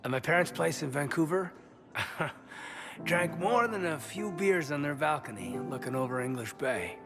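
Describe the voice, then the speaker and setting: A man playing an earnest, sincere soldier in a video game, speaking in a soft, hesitant way. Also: fairly high pitch, lively intonation, measured pace. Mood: easy, warm. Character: earnest male soldier, adult, sincere, restless, quietly yearning. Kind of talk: naturalistic video game voice acting. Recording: music behind the voice.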